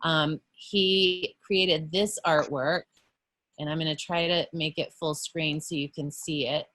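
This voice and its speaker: A woman art educator leading teachers through an online workshop on a video call, explaining patiently with frequent pauses and self-corrections. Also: low voice, fairly even intonation, fairly bright tone.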